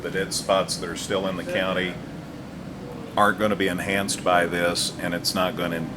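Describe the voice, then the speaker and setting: A man's voice: low-pitched, little pitch movement, moderate pace, background noise. A man working as a radio interviewer, putting points and questions in a conversational way.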